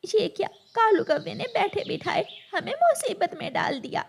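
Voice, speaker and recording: A woman's voice: very high-pitched, very animated, moderate pace, gravelly, slight background noise, pitched above the performer's own voice, full character voice. A woman playing a caring mother sparrow.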